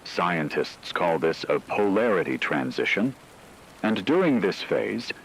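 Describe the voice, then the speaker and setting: A man playing a grave scientific expert in a radio drama, speaking in a deep voice. He delivers a formal, technical emergency briefing in measured phrases. Rain-like static noise runs behind him. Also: full character voice, rough recording. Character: grave male scientific expert briefing during global disaster. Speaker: radio broadcast expert commentator.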